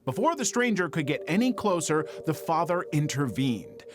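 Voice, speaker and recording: A man reading scripted true-crime narration in a measured, dramatic storytelling manner. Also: a little high, very animated.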